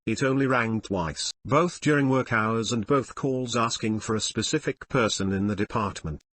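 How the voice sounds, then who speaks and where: A man narrates scripted online stories and reader comments. His voice sits at an average pitch with a husky, slightly rough edge. The sound is dry, with very little room around it. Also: a bit bright, dry recording. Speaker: narrator reading online forum posts and comments.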